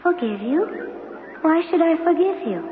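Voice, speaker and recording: A woman's voice: extremely high pitch, big pitch swings, unhurried, heavily acted. Echoing, aloof ghost of a young woman. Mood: cold, accusing. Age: 30s. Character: ghost of murdered 32-year-old woman, aloof and unforgiving. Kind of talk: 1970s radio drama acting. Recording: old radio, echo, music underneath, muffled.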